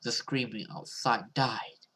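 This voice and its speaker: Bright-voiced horror game streamer reading aloud. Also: mid-range voice, slow pace, breathy edge. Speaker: gaming video host. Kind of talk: dramatic read-aloud and casual commentary of horror game.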